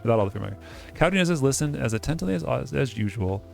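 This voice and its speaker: A man with a mid-pitched voice reads game text aloud at a quick clip. He drops casual, sardonic asides into the reading, with faint music behind him. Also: animated, gravelly. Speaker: host of a game playthrough.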